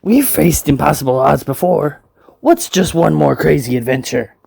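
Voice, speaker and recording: Man as very gravelly adventurous pirate. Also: on the high side, big pitch swings, moderate pace, a little mellow, exaggerated voice. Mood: undaunted. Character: adventurous, undaunted pirate crewman.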